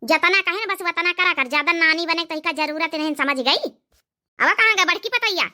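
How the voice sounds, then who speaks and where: A woman's voice: extremely high pitch, fairly quick, bright, forceful tone, heavily acted. A woman voicing a proud, sarcastic village mother-in-law in a cartoon comedy, scolding sharply in an Awadhi accent. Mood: bossy.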